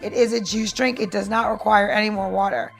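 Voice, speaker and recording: A woman's voice: mid-range voice, steady tone, fairly quick, vocal fry, soft background music. A woman co-hosting a podcast, explaining a recipe step by step in an informal, instructive way. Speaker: podcast co-host and cocktail maker.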